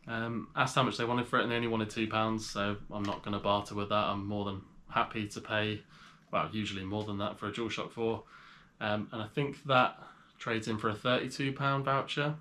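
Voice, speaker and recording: A man with a cold and a slightly nasal voice, chatting casually in a vlog as he shows items to the camera. He rambles in a relaxed way with frequent pauses. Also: lowish voice, slight background noise. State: has a cold. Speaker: reseller vlogger.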